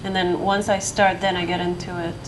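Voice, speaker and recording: A woman on an art school panel, talking hesitantly with many pauses and restarts. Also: fairly low pitch, level tone. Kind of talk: panel discussion. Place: lecture hall. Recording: noisy room recording.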